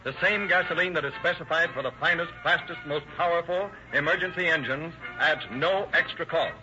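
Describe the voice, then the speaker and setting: A man's voice: on the high side, brisk, over music. A man announcing and narrating a 1930s radio show in a formal, declamatory, scripted style. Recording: muffled old radio broadcast.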